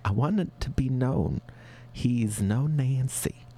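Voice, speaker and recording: A man playing a fussy, flustered middle-aged married woman with a put-on regional accent, in a high, breathy voice with dramatic, prim delivery. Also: slow pace, dark tone, slight background noise. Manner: dramatic, prim, full of double meanings. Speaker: advice-show caller. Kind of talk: improvised comedy sketch.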